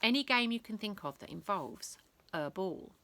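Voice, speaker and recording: A woman reading a children's bedtime story aloud in a theatrical, expressive way, lingering on words. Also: medium pitch, moderate pace.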